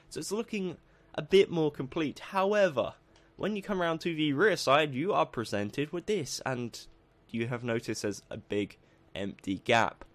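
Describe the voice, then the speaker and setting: A man with a croaky voice, narrating a building tutorial as a voice-over, upbeat and lively, slowing down as he lists steps. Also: mid-range voice. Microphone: voice-over over game footage.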